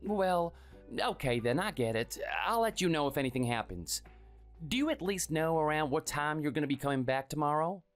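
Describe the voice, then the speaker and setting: A performer voicing a meek, sickly man in his thirties who speaks softly and hesitantly, always apologizing. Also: a little high, animated, normal speed, soft background music, natural voice. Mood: pleading. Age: 30s. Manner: soft, hesitant, pleading. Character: meek sickly young husband, timid and apologetic.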